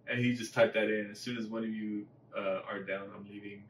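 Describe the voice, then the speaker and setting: Male podcast co-host, lowish voice, blunt profane banter, recorded far from the mic in a roomy space. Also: level tone, a little fast. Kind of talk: casual chat. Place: echoey room, away from the mic. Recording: distant mics, echoey room, faint noise.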